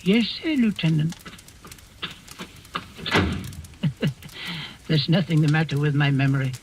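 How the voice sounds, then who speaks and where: An actor playing a rambling, sly old Danish servant who feigns senility, in 1940s radio drama style. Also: male voice, a little high, animated, slow pace, background noise. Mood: sly, reminiscing. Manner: rambling, deferential, theatrical. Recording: old radio recording with music behind.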